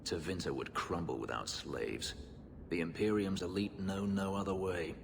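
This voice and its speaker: A man voicing a brooding, bitter warrior in a video game, speaking in a measured, grave, dryly sardonic way. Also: sits low, vocal fry, long reverb tail, background noise. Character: brooding, bitter male warrior from a slave-keeping empire.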